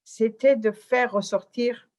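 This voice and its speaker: A woman's voice: a little high, steady tone, slow, darkish tone. A woman speaking as a guest on a radio forum discussion, searching for words with frequent fillers. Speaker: panel guest.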